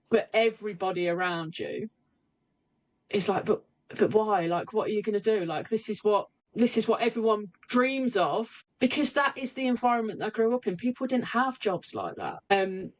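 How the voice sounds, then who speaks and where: A woman's voice: mid-range voice, low-quality recording. Candid woman, remote guest. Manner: candid, self-correcting, frequent restarts. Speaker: hypnotherapist guest. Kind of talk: reflective interview talk. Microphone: remote call recording.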